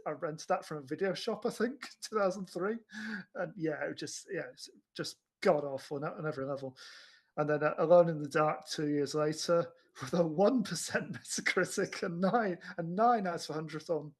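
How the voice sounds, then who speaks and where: Man, fairly high-pitched voice, podcast host, casual film chat, halting, stumbling restarts. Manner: hesitant, halting delivery with repeated stutters and restarts. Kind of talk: casual chat reviewing films.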